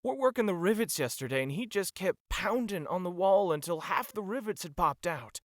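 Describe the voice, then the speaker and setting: A man playing a caring, troubled pony worker in an audio drama, halting and heavy-hearted. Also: sits high, lively intonation, no room sound, studio quality. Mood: troubled, concerned.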